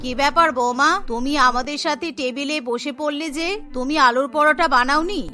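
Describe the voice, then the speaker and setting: A woman's voice: high voice, moderate pace, sharp tone, faint music behind, voice pushed down. A woman voicing a bossy, scolding middle-aged mother-in-law in a cartoon, stern and nagging. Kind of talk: animated cartoon story voice acting.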